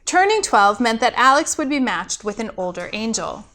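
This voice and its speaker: A woman's voice: fairly high pitch, sing-song, moderate pace, a bit bright. A woman, a pastor and host, reading a scripted story aloud as a storyteller.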